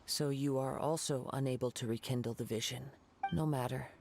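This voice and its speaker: An actor playing a calm young wandering samurai in a game cutscene, speaking in a measured, reflective way. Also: male voice, medium pitch, creaky, slight background noise, natural voice. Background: game music.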